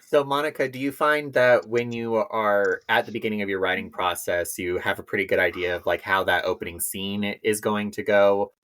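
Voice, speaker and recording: Man, low pitch, podcast co-host, conversational interviewing, thoughtful, articulate. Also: fairly even intonation, normal speed, hardly any pauses, a bit bright.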